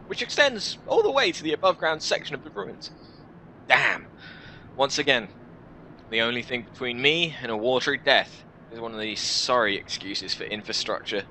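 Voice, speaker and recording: A man hosting a gaming video, reading a visual novel aloud in an expressive, theatrical way with casual asides. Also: mid-range voice, a little hiss or hum.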